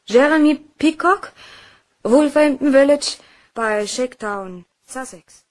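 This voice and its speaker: A woman's voice: sits high, slow pace. An actress playing a polite, slightly uneasy young female office worker in a crime radio play, answering carefully. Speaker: actor.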